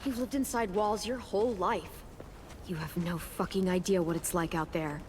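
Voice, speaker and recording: A woman's voice: on the low side, steady tone, normal speed, background noise, close to an everyday voice. An actress playing a tough, weary, protective teenage girl survivor in a naturalistic video game drama, guarded and matter-of-fact. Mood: bitter.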